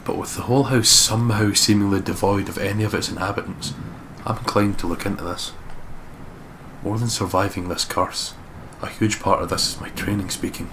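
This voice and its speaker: Man voicing a low, brooding investigator, noisy recording. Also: fairly even intonation, fairly quick, lightly acted. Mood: brooding, serious. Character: guarded, determined male investigator narrating a horror story. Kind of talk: dramatic scripted narration, visual novel read-aloud. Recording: clearly noisy home recording.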